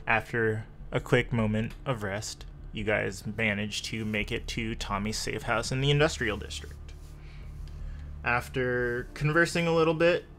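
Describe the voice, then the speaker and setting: Slow, low-voiced man as game master. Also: gravelly, fairly bright tone, a little hiss or hum. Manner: halting, pausing between short phrases. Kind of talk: narrating a tabletop roleplaying game.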